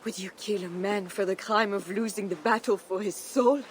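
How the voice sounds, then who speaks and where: A woman's voice: medium pitch, normal speed, slight background noise, close to an everyday voice. An actress playing a devout, dignified medieval queen in a video game, speaking with earnest, solemn piety. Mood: pleading, earnest. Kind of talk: dramatic video game cutscene acting.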